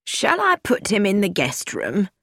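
A woman voicing a brisk, devoted female companion with a businesslike way of talking. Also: medium pitch, wildly swooping pitch, a bit bright, breathy edge, dry recording, studio quality, full character voice.

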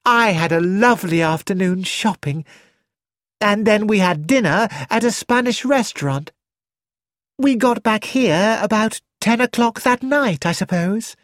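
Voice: medium pitch, expressive, unhurried, fairly bright tone, no room sound, voice pushed up. A narrator voicing a flighty, childish young actress who exclaims eagerly about money, read in a close, dry studio recording.

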